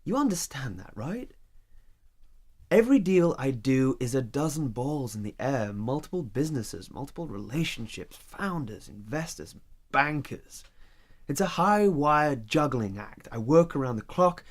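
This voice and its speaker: Evasive workaholic boyfriend in an audio play, measured and emphatic, natural delivery. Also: male voice, medium pitch, sing-song. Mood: defensive, insistent. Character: career-driven male lover, evasive and defensive. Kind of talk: naturalistic audio drama acting.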